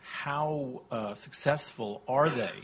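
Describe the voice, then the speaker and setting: A man in the audience asking the panel a long question, hesitant and halting, full of uh and you know. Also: medium pitch, fairly bright tone, breathy edge. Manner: hesitant, many fillers and restarts. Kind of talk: conference question.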